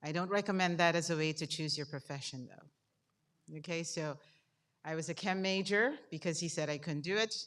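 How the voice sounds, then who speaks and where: An older woman speaks in a low register with a Guyanese accent. She gives an informal talk to an audience as a guest speaker and likes to involve her listeners directly. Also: normal speed, fairly bright tone. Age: 50s or older. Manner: interactive, puts questions to the audience. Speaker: guest speaker, dentistry professor.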